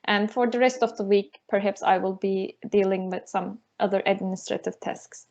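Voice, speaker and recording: A woman's voice: medium pitch, steady tone, moderate pace, gravelly, darkish tone, dull audio. A woman in her thirties from Turkey, speaking English with a Turkish accent as a lecturer being interviewed, with many fillers and restarts. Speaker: university lecturer, guest. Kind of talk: casual interview over a call.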